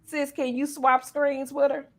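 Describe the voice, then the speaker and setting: A woman's voice: high-pitched, level tone, unhurried. A woman hosting a casual talk show interview, speaking to guests in an encouraging, playful way.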